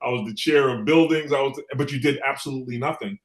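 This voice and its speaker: A man's voice: medium pitch, fast talker. A middle-aged man from New York City speaking as an interview guest, telling stories conversationally and repeating phrases for emphasis. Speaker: guest, former city council member. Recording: echoey room sound.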